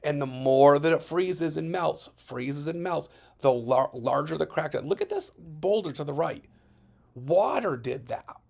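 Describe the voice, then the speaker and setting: Gravelly-voiced man teaching science. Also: a little high. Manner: chatty, checks in with frequent "okay?". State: voice recovering from hoarseness. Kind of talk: recorded classroom lesson over slides.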